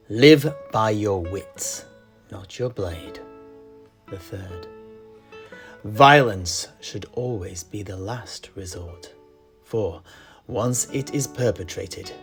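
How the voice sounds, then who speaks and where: Low, slow, secretive tavern keeper played by a man. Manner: measured, deliberate, hushed at phrase ends. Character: grave, secretive tavern keeper recounting old adventures. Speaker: storyteller, host of in-universe chat. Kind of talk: in-character fantasy storytelling. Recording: music behind the voice.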